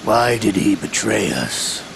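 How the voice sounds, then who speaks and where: An actor playing a gruff, cold villain's henchman in a video game, with a gravelly voice and a clipped, businesslike delivery. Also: on the low side, very animated, slow, full character voice. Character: gruff cold male villain henchman reporting to his leader. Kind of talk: dramatic video game voice acting. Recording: loud vehicle and ambient noise.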